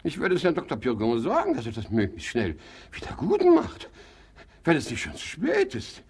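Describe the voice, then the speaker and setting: A creaky, fussy old-style miserly hypochondriac. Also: male voice, on the high side, wildly swooping pitch, moderate pace, darkish tone, heavily acted. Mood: wailing, self-pitying. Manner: theatrical muttering, wailing and scolding. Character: fussy, miserly male hypochondriac, querulous and self-pitying. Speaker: actor. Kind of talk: German radio play comedy acting.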